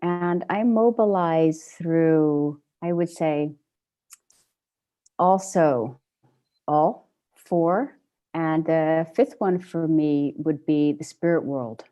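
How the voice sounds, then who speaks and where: A woman introducing herself in an online meeting, speaking in a slow, reflective way. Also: low-pitched, warm tone, muffled recording.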